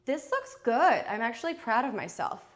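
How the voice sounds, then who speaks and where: Nasal, chatty woman hosting a live stream. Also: normal speed. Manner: chatty, jokey, self-teasing, lively swings in pitch and loudness. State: stuffy nose from a head cold. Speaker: live shopping host. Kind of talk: casual live-stream unboxing chat.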